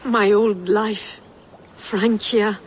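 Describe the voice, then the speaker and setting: A woman's voice: mid-range voice, steady tone, drawn-out words, slight background noise, poor audio. A passionate French noblewoman. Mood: yearning, seductive. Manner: romantic, poetic. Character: passionate, restless Frankish noblewoman yearning for a new life. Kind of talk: video game cutscene acting.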